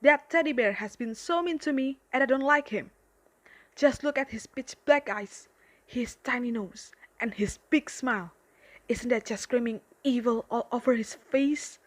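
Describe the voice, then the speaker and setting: A very high, gushing unicorn , voiced by a woman in an amateur radio drama. The creature plays a falsely sweet best friend, coaxing and bossy, always out to manipulate. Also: moderate pace, natural voice. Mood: gleefully menacing.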